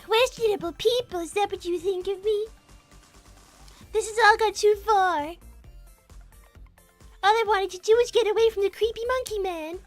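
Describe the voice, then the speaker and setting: Prim young rabbit lady , acted by a woman, tiny high-pitched voice, reproachful and proper, improvised cartoon roleplay. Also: normal speed, long pauses, no echo, soft background music. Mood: offended, scolding. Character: prim, scolding young female rabbit, easily offended. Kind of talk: live improvised character roleplay.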